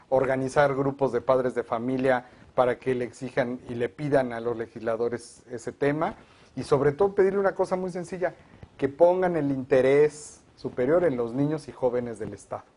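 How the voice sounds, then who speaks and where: A man, a guest advocate for education, explaining proposals in a measured way on a televised discussion. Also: mid-range voice, a little mellow.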